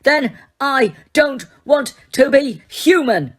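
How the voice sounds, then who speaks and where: A woman voicing a furious, grieving teenage boy wizard in an audiobook, loud and shaking. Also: medium pitch, lively intonation, normal speed, husky, voice pushed up.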